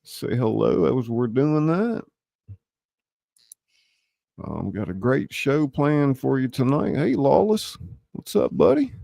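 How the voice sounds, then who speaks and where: A man's voice: medium pitch, sing-song, takes it slowly, gravelly, long pauses, warm tone, no echo, clean studio sound. A man hosting a casual livestream, chatting in a folksy, welcoming way. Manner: welcoming, greeting listeners by nickname, folksy. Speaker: host of a live script-reading show. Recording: music playing behind the voice. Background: background music.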